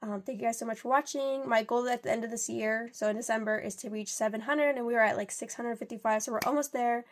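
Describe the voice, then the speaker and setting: A woman's voice: a little high, fairly even intonation, fast talker, hardly any pauses. A young woman with a congested voice from a cold, chatting in a rambling way while counting out cash for her budget. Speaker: budgeting vlogger.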